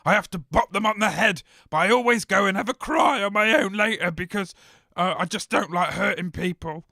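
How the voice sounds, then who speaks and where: Scatterbrained kindly chef, high-pitched, husky rasp, rambling, naive, comedy audio drama. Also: male voice, a little fast, sharp tone, no echo, studio quality, heavily acted. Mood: earnest, befuddled. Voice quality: raspy, creaky. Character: eccentric, scatterbrained, soft-hearted post-apocalyptic cafe chef.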